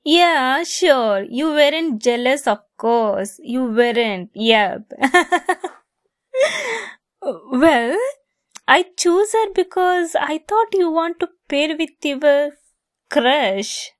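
A woman's voice: high voice, lively intonation, extremely slow, pauses often, no echo, studio quality, heavily acted. A woman acting a giggly, teasing young woman fond of a longtime friend, in a romantic ASMR roleplay. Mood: teasing, playful.

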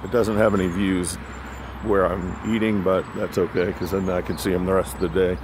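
A man giving casual, rambling, low-key vlog narration on a phone while out walking. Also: flat delivery, a little fast. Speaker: vlogger. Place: outdoors on a sidewalk beside a busy road. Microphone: handheld phone. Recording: outdoor phone recording with traffic noise. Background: passing cars, street ambience.

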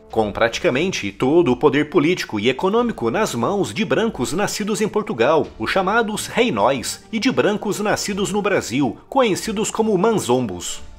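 A man narrates a scripted history documentary with a husky, slightly raised voice. His delivery is emphatic and swings widely in pitch, with soft music faintly behind him.

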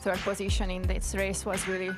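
A woman commentates a sprint canoe final live, moving between two languages without losing her even, level delivery. Her pitch sits in the middle and barely rises or falls. Loud music plays under her voice the whole time. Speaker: race commentator.

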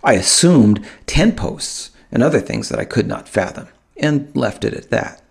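A man narrating a first-person audiobook in an expressive storytelling manner, with dramatic pauses. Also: mid-range voice, vocal fry, breathy edge. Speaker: author narrating his own audiobook.

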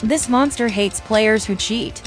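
A woman's voice: on the high side, measured pace, soft background music. A woman reading scripted narration as the presenter of a quiz.

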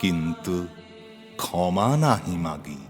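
A man gives a dramatic recitation of devotional verse at a very slow pace. His delivery is solemn and declamatory, stretching phrases toward chant. His mid-pitched voice rings in a long reverb, with music behind it. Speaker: poetry reciter.

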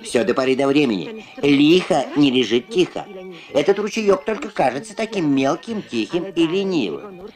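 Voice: mid-range voice, very animated, moderate pace, a little mellow, voice pushed up, lightly acted. A performer voicing a fussy, pompous female wagtail bird who preaches proverbs in a children's cartoon. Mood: preachy. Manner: pompous, sententious. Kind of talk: children's puppet cartoon dub. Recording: old film soundtrack with music.

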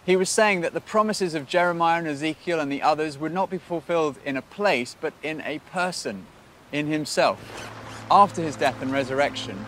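Casting: British male presenter, thirties, earnest faith storytelling, measured phrases and pauses, music underneath. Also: on the high side, fairly bright tone, a little hiss or hum. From Britain. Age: 30s.

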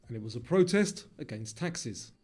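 A man reading scripted quiz narration in a measured way, as the narrator posing questions. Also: medium pitch, big pitch swings, a little mellow.

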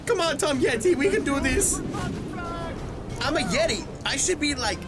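A man voicing an excitable cartoon yeti from a video game, exclaiming and eager to frighten everyone. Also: very high-pitched, moderate pace, loud music behind the voice, pitched above the performer's own voice. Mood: eager bluster.

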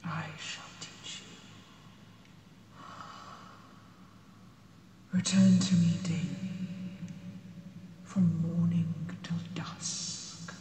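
A woman voicing a gentle, scholarly female dragon in a fantasy ASMR roleplay, speaking formally and deliberately in hushed, breathy tones. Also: lowish voice, level tone. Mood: stern but kind. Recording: cavernous reverb, distant, noisy ambience.

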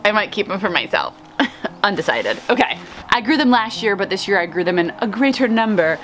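A woman in her thirties chatting casually to camera as a vlog host, with an expressive, gushing and playful manner. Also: medium pitch, brisk, soft background music. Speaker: flower farmer and vlog host. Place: outdoors on a covered house porch.

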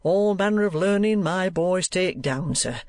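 A man's voice: high-pitched, unhurried, dry recording, pitched above the performer's own voice. A man voicing a boastful, hypocritical middle-aged schoolmaster with pompous, oily sales patter in an audiobook.

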